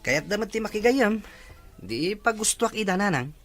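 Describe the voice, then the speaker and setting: A woman's voice: medium pitch, expressive, moderate pace, natural voice. A woman with a Filipino accent acting a defiant grown child answering back in an audio drama. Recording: music under the voice.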